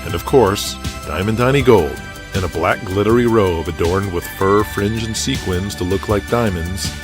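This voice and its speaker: A man's voice: deep voice, moderate pace. A man narrating a scripted audio drama as its storyteller. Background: music.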